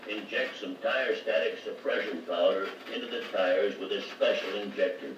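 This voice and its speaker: A man's voice: low voice, normal speed, close to an everyday voice. An actor playing a folksy, confident American car mechanic with plain working-man speech in a 1950s training filmstrip. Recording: muffled, echoey 1950 record, distant, music behind.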